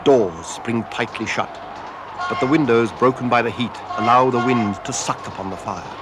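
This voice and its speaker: A man's voice: mid-range voice, normal speed, crowd noise. A man giving an intense, theatrical narration of a story, as in a scripted radio drama. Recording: noisy 1970s radio drama with effects. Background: loud sound effects.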